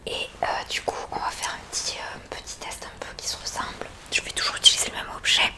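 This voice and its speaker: A woman whispering softly and breathily in an ASMR roleplay as a gentle, reassuring hearing examiner. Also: fast talker.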